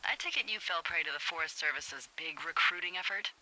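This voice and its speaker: A woman's voice: lowish voice, a little fast, sharp tone, rough recording. A woman playing a wry, teasing American fire lookout in a naturalistic video game, chatty and sardonic, heard over a two-way radio. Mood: teasing, casual.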